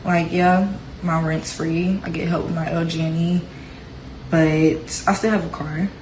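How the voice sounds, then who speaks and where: A woman's voice: lowish voice, steady tone, unhurried, darkish tone, roomy sound, a little hiss or hum, rough recording. A woman who is a single mother talks frankly and matter-of-factly about getting by on government aid. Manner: frank, matter-of-fact complaining. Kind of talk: candid selfie-video rant.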